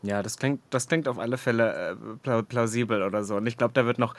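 A man's voice: mid-range voice, brisk, no room sound. A German man hosting a podcast, chatting casually and teasing his guest. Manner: playful, teasing. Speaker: podcast host. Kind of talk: casual chat.

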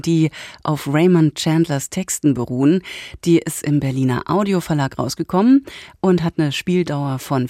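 A woman's voice: low-pitched, darkish tone, dry recording, studio quality. A woman presenting a literature magazine on radio, reading scripted introductions. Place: studio. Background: music.

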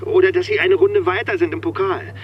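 A man's voice: high voice, steady tone, brisk, lo-fi sound, slight background noise, close to an everyday voice. An actor playing a harried, cocky manager in his forties in a naturalistic radio drama, indignant and evasive, stammering under pressure. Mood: eager, earnest. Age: 40s. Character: harried, cocky middle-aged car-industry manager and family man. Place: voice heard as if driving inside a car.

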